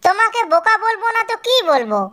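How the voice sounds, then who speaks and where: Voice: extremely high pitch, big pitch swings, quick pace, bright, forceful tone, pitched above the performer's own voice, exaggerated voice. A performer voicing a small, clever cartoon tortoise who coaxes and teases while feigning meekness. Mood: teasing, bold. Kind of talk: children's cartoon character voice.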